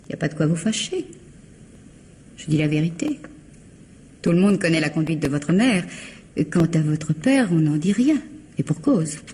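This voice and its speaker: An actress playing a cold, haughty bourgeois sister-in-law in a French radio mystery, with a condescending, formal, clipped delivery. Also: female voice, low voice, animated, normal speed, pauses often, mellow, a little hiss or hum. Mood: cold, cutting.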